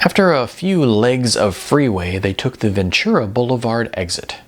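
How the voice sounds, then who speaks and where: A man's voice: medium pitch, lively intonation, normal speed. A man reading scripted fiction as an audiobook narrator.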